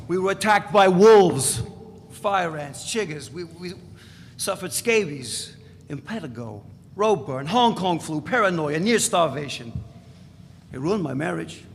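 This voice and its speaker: A brash, wry ex-radical played by a man tells his exploits in a creaky, slightly high voice. He is defiant and emphatic, as in a staged novel reading. The hall rings around him with a faint hiss. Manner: emphatic storytelling, piling up lists. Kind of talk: live dramatic reading of a novel. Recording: echoey hall, hissy live recording.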